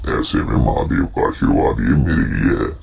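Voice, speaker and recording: A man voicing an arrogant, entitled king with a breathy, gravelly voice and an imperious manner in a cartoon folk tale. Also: very deep voice, expressive, normal speed, noisy background, exaggerated voice. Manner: imperious, boastful.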